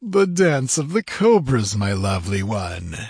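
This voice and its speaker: A sadistic sorcerer-priest from a pulp fantasy tale, acted out by a male narrator. He gloats and taunts theatrically in a husky voice, close and dry. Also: lowish voice, very animated, measured pace, a little mellow, no echo, heavily acted. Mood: mocking amusement.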